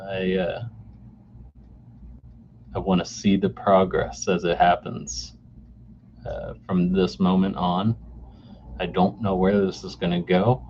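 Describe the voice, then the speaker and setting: A man in his forties hosting a solo podcast off the cuff, halting with many fillers and restarts, recorded on a camera mic indoors. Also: low voice, vocal fry. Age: 40s. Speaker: podcast host. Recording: dull lo-fi camera mic sound with faint noise.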